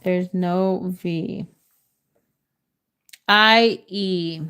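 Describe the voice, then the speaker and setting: A woman's voice: fairly low pitch, steady tone, a bit bright, clean tone. A woman from the United States hosting a livestream word-guessing game, reading out letters and chatting with viewers, sometimes in Spanish. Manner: slow, drawn-out letter reading, switches into Spanish. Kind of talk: casual live chat with viewers.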